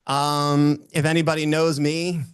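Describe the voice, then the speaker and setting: Booming-voiced man giving a motivational talk. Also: level tone, measured pace, clear, resonant tone, dry recording. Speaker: motivational speaker. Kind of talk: motivational talk with personal storytelling.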